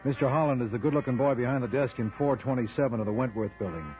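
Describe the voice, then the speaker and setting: A man's voice: mid-range voice, quick pace, natural voice. An actor playing a sardonic lawyer who narrates his own case in an old-time radio mystery, with dry, wry delivery. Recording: dull old radio recording with music.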